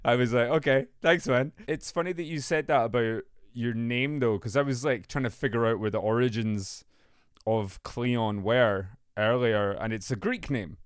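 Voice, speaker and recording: A man's voice: mid-range voice, moderate pace, clear, resonant tone, no room sound, studio quality. A man speaking as a podcast host, moving between introduction and interview talk. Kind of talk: scripted intro and casual interview chat.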